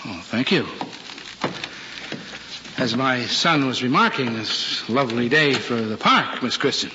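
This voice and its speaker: A man playing a gruff but genial middle-aged father with a folksy, blustery American manner in an old-time radio drama. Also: medium pitch, a little slow, lightly acted. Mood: flustered, courtly. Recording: muffled, noisy old radio recording.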